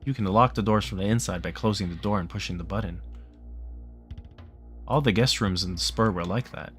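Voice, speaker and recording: A man's voice: lowish voice, fairly quick, a bit bright, faint music behind. A man reading a visual novel aloud as dramatic narration, dropping into casual asides. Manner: acts out character dialogue.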